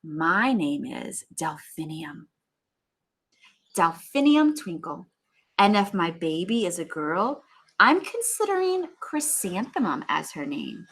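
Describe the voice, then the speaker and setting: Measured, kindly music teacher played by a woman. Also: lowish voice, sing-song, fairly bright tone, husky, heavily acted. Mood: gently proud. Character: kind, dreamy female music teacher, gently proud.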